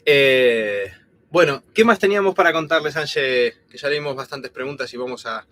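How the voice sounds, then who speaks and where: A man in his thirties from Argentina, his Argentine Spanish accent softened by years in Spain, chatting casually as co-host of a live stream with many fillers and restarts. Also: mid-range voice, moderate pace. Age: 30s.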